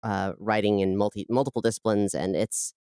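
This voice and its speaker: A woman's voice: rumbling low, steady tone, brisk, warm tone, clear, resonant tone, dry recording, studio quality. A woman giving casual, reflective interview answers as a podcast guest, often qualifying what she says.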